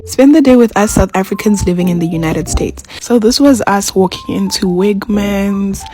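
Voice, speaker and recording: A woman narrates a day-out vlog in a casual, relaxed way, recounting where they went. Light music plays faintly under her voice. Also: mid-range voice, normal speed.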